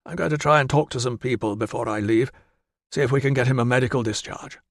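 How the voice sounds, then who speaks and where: A man voicing a cheery, fussy Roman doctor who talks in a brisk, reassuring way and calls people "old chap". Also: mid-range voice, gravelly, no room sound, studio quality. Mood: concerned. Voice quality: brisk, reassuring, says 'old chap'. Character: cheery middle-aged male Roman doctor, kindly, fussy.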